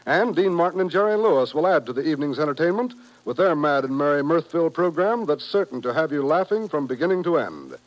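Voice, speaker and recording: Slightly high-voiced American radio announcer, male. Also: big pitch swings, fast talker, few pauses, no echo, poor audio. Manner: formal, polished salesmanship. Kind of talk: 1950s radio announcing, scripted promotion.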